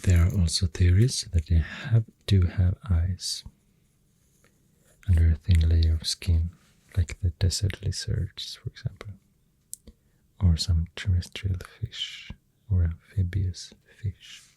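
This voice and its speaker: A man with a Swedish accent speaking softly in a halting, half-whispered ASMR ramble, close to the microphone. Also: sits low, fairly even intonation, poor audio. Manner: hushed, breathy, half-whispered. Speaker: ASMR host. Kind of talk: soft-spoken ASMR rambling.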